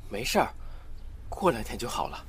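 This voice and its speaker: A man's voice: medium pitch, big pitch swings, moderate pace, gravelly, a bit bright, echoey room, slight background noise. An actor playing a devoted, earnest young man in love in an amateur Chinese audio drama. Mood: gentle.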